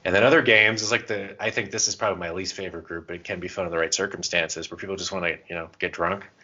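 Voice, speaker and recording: Very deep-voiced man chatting, slightly dull audio. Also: fairly even intonation, fast, pushed and bright. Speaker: podcast co-host. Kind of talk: casual chat.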